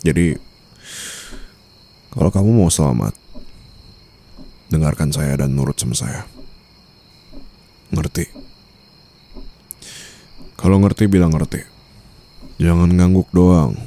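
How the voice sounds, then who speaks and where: A man playing a cold, domineering mafia boss and teasing new husband in an ASMR roleplay, speaking in a deliberate, menacing way. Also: sits low, creaky, dark tone, exaggerated voice. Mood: firm, commanding. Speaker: roleplay voice performer.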